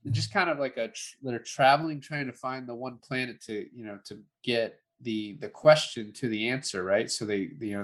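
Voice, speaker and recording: A man's voice: lowish voice, bright, forceful tone. A man co-hosting a casual film review podcast, chatting in a jokey way. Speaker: podcast co-host.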